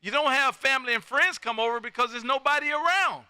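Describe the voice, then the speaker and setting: A man's voice: extremely high pitch, quick pace, very noisy. A folksy, exuberant man, chatting casually as a ham radio host who peppers his talk with "man". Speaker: amateur radio operator and live stream host.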